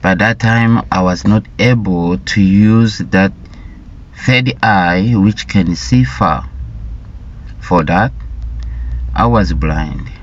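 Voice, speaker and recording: Slow, deep-voiced man from Rwanda. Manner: deliberate, halting, repeats words. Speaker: vlogger sharing his life story. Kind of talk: slow personal storytelling. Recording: dull lo-fi recording.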